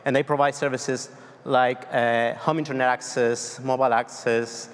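A man's voice: mid-range voice, level tone, moderate pace. A man from Argentina in his thirties with a Spanish accent, giving a tech conference talk with many fillers and restarts. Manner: loose, hesitant delivery with many fillers and restarts. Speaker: conference speaker. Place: large dark conference hall on stage. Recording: long hall reverb.

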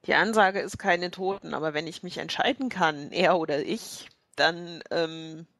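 A woman's voice: lowish voice, unhurried, a bit bright, no echo, studio quality. A woman playing a tabletop role-playing game, chatting casually in a blunt, informal way.

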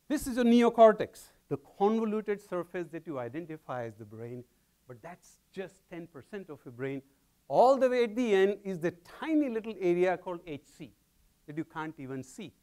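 A middle-aged man with an Indian accent lectures to a packed hall, his voice sitting a little high for a man. His pitch rises and falls in a very lively way as he moves about the stage, talking into a lapel mic. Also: normal speed. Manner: animated, gesturing while pacing the stage. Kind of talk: public science lecture. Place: large lecture hall with audience.

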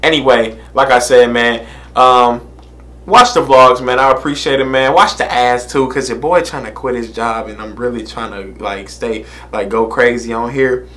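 Young man, relaxed reaction-vlog delivery, desk-camera sound in a small room. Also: mid-range voice, normal speed. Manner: laid-back, laughs often, repeats "man" and "you know what I'm saying". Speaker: music reaction vlogger. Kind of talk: casual reaction commentary. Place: small room indoors, plain wall behind.

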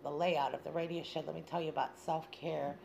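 A woman presents an informal radio monologue in a notably low voice with plenty of fry. She speaks haltingly and tends to ramble. There is faint noise and ambience behind her. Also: flat delivery, darkish tone, poor audio. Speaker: radio show host.

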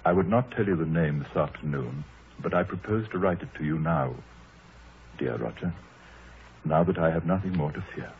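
An actor playing a troubled, anxious country gentleman, grave, hesitant and formal, in a 1930s radio drama. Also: very deep voice, normal speed. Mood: fearful, grave. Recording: muffled, noisy 1930s radio recording.

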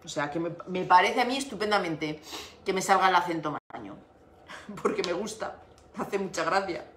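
A woman's voice: fairly low pitch, animated, normal speed, fairly bright tone, slight background noise. A middle-aged Spanish woman hosting a casual craft livestream from home, chatting and laughing as she greets viewers by name. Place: home room with window. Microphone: device camera on desk. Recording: echoey room.

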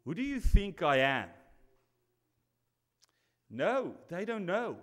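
A man's voice: high voice, sing-song, takes it slowly. A man in his forties preaching from a church pulpit, telling a story and acting out both sides of the conversation. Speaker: pastor. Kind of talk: sermon. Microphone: stand mic at pulpit. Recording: long reverb of a church hall.

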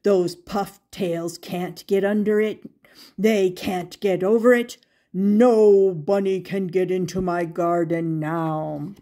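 A woman's voice: on the low side, steady tone, slow pace, mellow, voice pushed down. An older woman voicing a boastful, grumpy male gardener from a children's storybook, with emphatic, gloating delivery.

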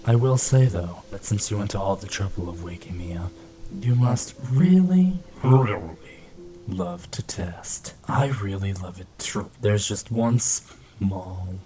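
Sardonic AI villain, male, played by a man: deep, unhurried, icy passive-aggression for a game fan dub. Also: echoey room. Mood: sardonic menace. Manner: deliberate, passive-aggressive, deadpan. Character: cold, sardonic male supercomputer, menacing and vengeful. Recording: music under amateur dub.

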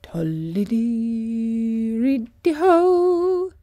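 A woman voicing a cheerful, simple-minded male scarecrow in a storybook, speaking gently with a sing-song lilt. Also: mid-range voice, sing-song, takes it slowly, no echo. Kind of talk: storybook character voice.

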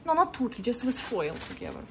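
A lively woman talks in a bright, fairly high voice that leaps up and down as she exclaims. She is filming on a phone in a home kitchen, and there is clear noise in the background of the recording. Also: quick pace. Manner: excited, laughing, exclaiming often. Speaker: vlogger. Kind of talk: casual kitchen chat while filming. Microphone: phone camera held by the speaker.

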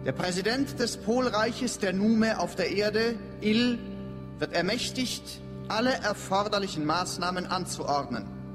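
An actress playing a solemn official, proclaiming a decree in measured, declamatory radio drama style. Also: female voice, medium pitch, natural voice. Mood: solemn, commanding. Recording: long reverb, loud music behind.

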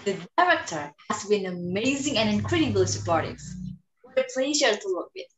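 A woman's voice: medium pitch, very animated, a little fast, noisy background, lightly acted. A young woman playing a vain, arrogant actress in an amateur dramatic reading, with a haughty, sarcastic manner. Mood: smug. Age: young adult. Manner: haughty, sarcastic, self-important. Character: arrogant, vain young female film star. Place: small room at home, indoors. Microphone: video call. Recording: roomy video-call sound.

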